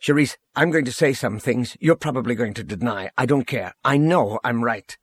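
A man's voice: medium pitch, moderate pace, slightly rough, dry recording, studio quality, lightly acted. A man voicing a stern, earnest general in an audiobook, blunt and pressing in his indignation. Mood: stern, pleading.

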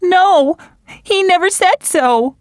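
A woman's voice: very high-pitched, lively intonation, takes it slowly, no echo, clean studio sound, voice pushed up, exaggerated voice. A woman voicing a heartbroken, tearful young woman. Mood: distraught. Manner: pleading and tearful.

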